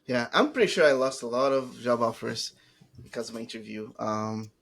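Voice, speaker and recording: A man with a Brazilian Portuguese accent hosts a casual podcast interview. He talks with eager excitement and circles around his questions, restarting often. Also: mid-range voice, moderate pace, vocal fry. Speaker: podcast host.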